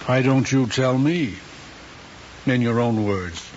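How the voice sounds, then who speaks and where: An actor playing an ambitious, anxious middle-aged father in an old screen drama, speaking in a halting, earnest way. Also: medium pitch, darkish tone, lightly acted. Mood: stern, probing. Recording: dull old film soundtrack, faint hiss.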